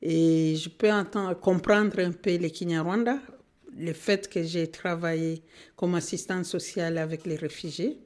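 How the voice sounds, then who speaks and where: A woman's voice: on the low side, fairly even intonation, a little mellow. Earnest woman recalling her past. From Democratic Republic of the Congo. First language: Kinande. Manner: earnest, measured, speaking from memory. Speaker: social worker and guest. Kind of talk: radio interview answers.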